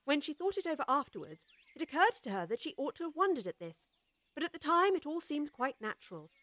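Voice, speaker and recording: A woman narrating a children's storybook audiobook with expressive, scripted storytelling. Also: high-pitched, quick pace, no room sound.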